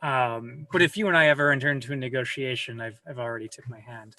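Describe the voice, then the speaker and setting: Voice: mid-range voice, quick pace, bright, forceful tone. A guest on a video call chatting casually, rambling and self-deprecating, with casual swearing, recorded at home. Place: home room with a server rack.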